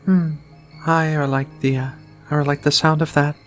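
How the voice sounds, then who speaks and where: A woman playing a shy, flirty, affectionate alien in a soft-spoken, hesitant ASMR roleplay. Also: low-pitched, little pitch movement. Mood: bashful, flustered. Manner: hesitant, bashful, murmuring with many pauses. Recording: quiet music behind the voice.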